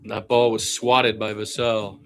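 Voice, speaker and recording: A man's voice: fairly low pitch, little pitch movement, a little slow, soft background music. A man giving conversational radio play-by-play of a basketball game, mixing running calls with opinionated asides. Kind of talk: live basketball play-by-play commentary.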